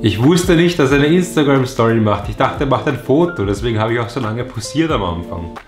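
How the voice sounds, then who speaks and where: A man's voice: medium pitch, lively intonation, fairly quick, over music. A man in his twenties with an Austrian accent, talking casually to camera as a vlogger. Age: 20s. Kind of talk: casual vlog talk to camera.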